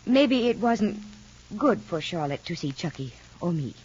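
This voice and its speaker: A woman's voice: fairly low pitch, sing-song, a little slow, darkish tone, breathy edge, full character voice. An actress playing an anxious, questioning mother figure in an old radio soap, speaking with emotion, on a lo-fi vintage recording. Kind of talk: 1940s radio acting.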